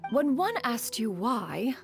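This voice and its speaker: A woman's voice: a little high, big pitch swings, takes it slowly, vocal fry, soft background music, full character voice. A woman playing a proud yet tender crane immortal and elder mentor in a video game, speaking in formal, archaic, stately phrases. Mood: dignified, explaining. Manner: formal, archaic, stately speech, refers to self as "one". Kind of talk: scripted video game character acting.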